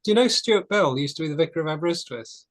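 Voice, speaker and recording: A man's voice: on the high side, animated, fast talker. A man speaks in a slightly raised voice, frank and opinionated. He tells stories in casual group talk and often restarts his sentences. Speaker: discussion group participant.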